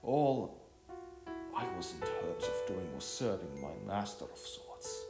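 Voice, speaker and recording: A man's voice: deep voice, very animated, slow pace, exaggerated voice. A man voicing a restless, hard-working servant in an audio story, hesitant and earnest as he justifies himself. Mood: defensive. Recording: roomy echo, faint music behind.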